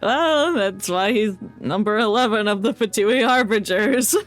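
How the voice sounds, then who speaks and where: A woman's voice: medium pitch, unhurried. Playful woman chatting over a game. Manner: playful, quipping. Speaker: streamer. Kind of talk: casual game stream commentary. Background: game music.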